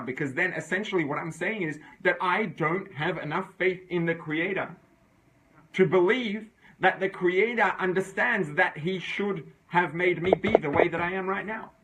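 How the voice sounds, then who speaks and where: A man's voice: high voice, a bit bright, poor audio. A man teaching an informal religious class, reasoning aloud in a conversational way and often checking in with his listeners. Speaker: Torah teacher.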